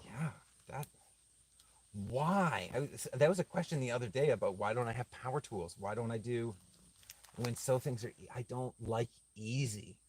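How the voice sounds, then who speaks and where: Man, mid-pitched voice, casual livestream chat, reflective, halting, thinking aloud. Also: darkish tone, a little hiss or hum. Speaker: craftsman livestreaming to viewers. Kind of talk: casual livestream chat, answering viewer comments.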